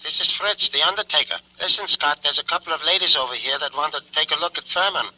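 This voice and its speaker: Small-town undertaker, piercingly bright voice. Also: male voice, on the high side, fast, a little hiss or hum, poor audio. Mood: businesslike. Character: plain-spoken small-town male undertaker. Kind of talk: 1940s radio crime drama acting.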